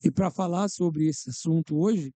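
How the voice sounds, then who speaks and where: This is a man hosting a podcast. His voice is very dark and mellow, recorded dry and close. He opens grandly and jokes about himself. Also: fairly high pitch, measured pace, no room sound. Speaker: podcast host. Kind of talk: theatrical show host introduction.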